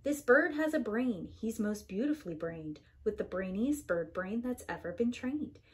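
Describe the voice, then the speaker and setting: A woman's voice: medium pitch, expressive, moderate pace. A woman reading a rhyming children's story aloud in an emphatic, theatrical preschool-teacher manner. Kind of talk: expressive children's storybook read-aloud in rhyme.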